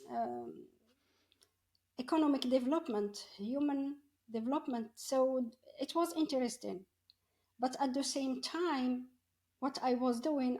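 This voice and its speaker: A middle-aged woman. She talks slowly and haltingly, feeling for her words as she shares a personal story as an interview guest. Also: on the high side, a little mellow. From Morocco. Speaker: podcast guest, coach.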